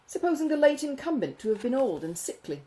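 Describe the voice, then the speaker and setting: A woman voicing a self-important, money-minded gentleman in a Regency audiobook, exclaiming and confiding in a pompous manner. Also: sits high, very animated, fast, pitched above the performer's own voice, poor audio. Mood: astonished, reasoning. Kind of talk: acted audiobook dialogue from a Regency novel.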